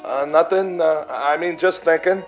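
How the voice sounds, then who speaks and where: A man's voice: a little high, moderate pace, soft background music, close to an everyday voice. An actor playing a mild, boyish toy designer in his thirties, hesitant yet stubbornly enthusiastic, in a radio drama. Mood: sheepish, flustered. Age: 30s.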